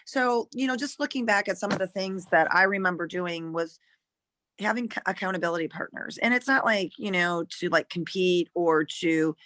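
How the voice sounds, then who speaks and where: A hoarse woman, a new sales consultant, speaking on a video call and chatting at length in a rambling way. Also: medium pitch, a bit bright, no room sound. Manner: chatty, rambling, full of restarts.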